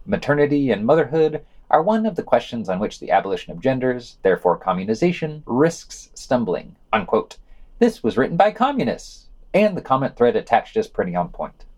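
A man's voice: medium pitch, big pitch swings, a little fast, darkish tone. A man co-hosting a podcast, reading a scripted news round-up in a wry, sardonic way. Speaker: podcast co-host.

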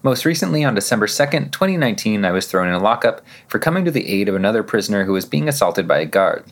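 A man presenting a podcast, reading scripted news items and quotations with emphatic, measured phrasing. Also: on the low side, sing-song.

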